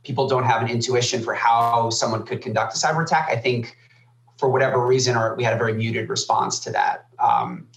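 A man's voice: mid-range voice, little pitch movement, fairly quick, a bit bright. Thoughtful man in an echoey room. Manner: thoughtful, hedging, many fillers. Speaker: guest, former army cyber officer. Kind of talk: casual podcast discussion.

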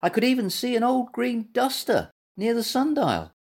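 Woman voicing a squeaky, eager little animal. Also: big pitch swings, normal speed, darkish tone, husky, heavily acted. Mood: chirpy. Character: small eager squeaky animal, kind young male friend.

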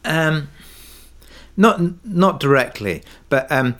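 A man's voice: on the high side, expressive, takes it slowly, fairly bright tone. A man interviewed as a writer, speaking reflectively and tentatively as he searches for his words. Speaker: interviewed writer of an audio drama.

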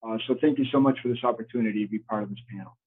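A man's voice: fairly low pitch, rapid-fire, slightly rough. A man from Portugal introducing himself as a photojournalist on a panel, modest and plain-spoken, over a tinny line. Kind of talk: self-introduction.

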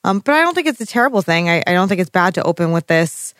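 Rambling recap co-host, mid-pitched voice. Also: big pitch swings, fast, fairly bright tone, dry recording, clean studio sound. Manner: rambling, trails off searching for names. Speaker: podcast co-host. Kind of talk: casual TV episode recap chat.

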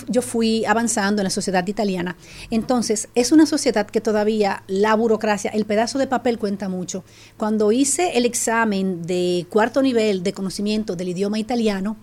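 A middle-aged Dominican woman with a Dominican Spanish accent, telling her story as a guest in an animated, casual way with frequent restarts. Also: mid-range voice. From Dominican Republic. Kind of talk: casual chat.

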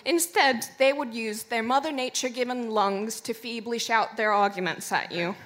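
A woman's voice: fairly high pitch, moderate pace, a bit bright, a little hiss or hum. A woman with a Finnish accent giving a mock-formal comic debate speech into a stage microphone, breaking into laughter. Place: live bar stage with audience.

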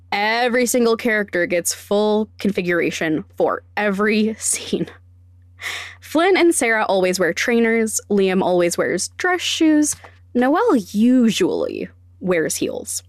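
A woman narrates a scripted podcast as its host, explaining things step by step. Her delivery is wry and self-deprecating, with a mid-range pitch and a close, dry sound. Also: no echo.

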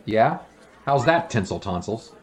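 An actor playing a wry, self-assured 1920s sportswriter in an audio drama, with a dry, measured manner. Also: male voice, mid-range voice, big pitch swings. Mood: dry teasing. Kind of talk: period audio drama acting.

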